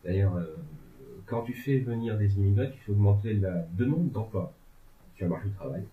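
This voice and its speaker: A man's voice: lowish voice, expressive, fairly quick. A man discussing politics in a casual, rambling way, with many hesitations and joking asides. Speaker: legal and political commentator. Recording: tinny phone-like sound in an echoey room.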